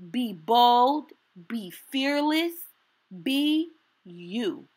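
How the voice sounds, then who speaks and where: A woman reading a children's picture book aloud as a storytime host, in an expressive, deliberate and encouraging way. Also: a little high.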